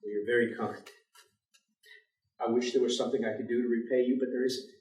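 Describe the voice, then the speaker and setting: A man's voice: medium pitch, little pitch movement, brisk, darkish tone, lightly acted. An actor playing a bleak, world-weary male professor in a staged dramatic reading, formal, articulate and fatalistic. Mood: weary, polite. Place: theatre stage. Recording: echoey theatre, distant microphone.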